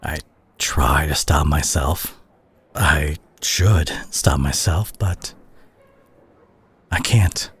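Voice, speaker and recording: A man's voice: rumbling low, breathy edge, full character voice. A man playing a weary, worried superhero talking intimately to an injured teammate in an audio roleplay. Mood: tired, tender concern. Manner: hushed, halting, sighing, turning exasperated.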